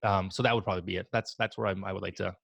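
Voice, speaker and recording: A man in his forties on a video call from his home office, talking in a relaxed, rambling, self-deprecating way. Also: low voice, racing, vocal fry, no room sound. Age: 40s. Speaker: guest. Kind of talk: casual video call interview.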